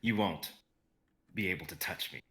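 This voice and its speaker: A defiant hero, played by a man in a script reading, with a low, forceful voice. He stays cool-headed and taunts his enemy calmly. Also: normal speed. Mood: taunting.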